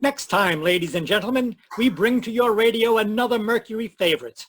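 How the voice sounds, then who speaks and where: A man's voice: high-pitched, fairly quick, fairly bright tone. A man playing a formal, showy announcer in the style of 1940s network radio.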